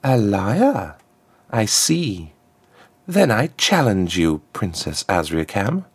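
Man putting on a haughty villainess, pitched far up. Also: very animated, slow, exaggerated voice. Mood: taunting. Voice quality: smooth, lifted contralto. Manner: formal, silkily menacing. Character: smug, haughty, athletic woman ambassador and villain.

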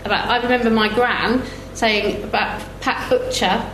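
A woman's voice: on the high side, fairly even intonation, normal speed, fairly bright tone. A woman speaking informally and telling anecdotes as a panellist at a live discussion. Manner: informal, conversational. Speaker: festival panellist. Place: indoor live event venue. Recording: echoey room, clear background noise.

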